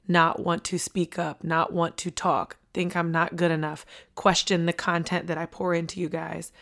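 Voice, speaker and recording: A woman hosts a motivational podcast, hoarse from losing her voice, energetic and preachy, repeating key lines for emphasis. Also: on the low side. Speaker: podcast host.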